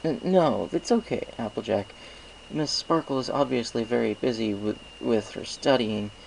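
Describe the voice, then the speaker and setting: A man's voice: mid-range voice, normal speed, vocal fry, slight background noise, close to an everyday voice, poor audio. A man voicing a shy, self-doubting winged stallion in a storybook reading, hesitant and meek. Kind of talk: acted audiobook character dialogue.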